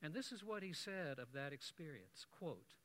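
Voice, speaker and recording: A man, preaching a sermon as an animated storyteller with wry asides. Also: a little high, normal speed.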